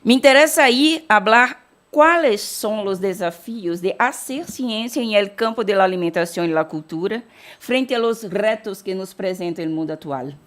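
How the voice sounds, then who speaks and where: A woman's voice: medium pitch, expressive, normal speed. A Brazilian woman from Bahia giving an academic keynote lecture, blending Portuguese and Spanish with frequent self-corrections and restarts. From Salvador, Bahia, Brazil.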